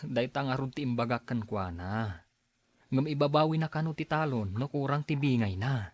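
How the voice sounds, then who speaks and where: Resentful tenant farmer played by a man: medium-pitched, grumbling, plain-spoken, dry radio drama sound. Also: lively intonation, normal speed, no room sound, natural voice. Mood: disgruntled.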